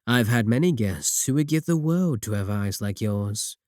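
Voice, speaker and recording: A man playing a courteous, manipulative warlock shopkeeper in a roleplay audio, coaxing and flattering his guest with polite, unhurried talk. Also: fairly low pitch, dry recording, studio quality, lightly acted. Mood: smooth, persuasive. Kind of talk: second-person roleplay audio acting.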